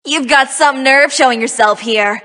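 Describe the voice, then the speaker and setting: Very high, bright, peppy magical-girl heroine. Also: moderate pace, big-room sound. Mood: cocky, mocking. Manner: sassy, spirited, theatrical delivery. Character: young magical-girl archer heroine, cocky, peppy, teasing. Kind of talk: video game character voice lines.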